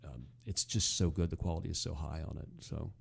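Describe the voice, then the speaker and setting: A man hosting a casual talk show, giving commentary in a halting way, often circling back to rephrase. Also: rumbling low, fairly even intonation, gravelly, slightly rough.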